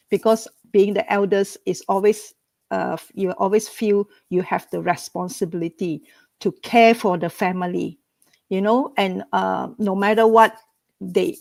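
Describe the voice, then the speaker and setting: Slow, gravelly-voiced woman from Malaysia. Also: mid-range voice, a little mellow, no echo. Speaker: entrepreneur and mentor. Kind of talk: personal talk in an online group.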